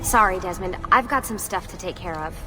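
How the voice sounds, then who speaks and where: A woman playing an earnest, steady young assassin handler in a video game. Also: on the low side, fairly quick, over music, close to an everyday voice. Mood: calm, reassuring. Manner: earnest, reassuring.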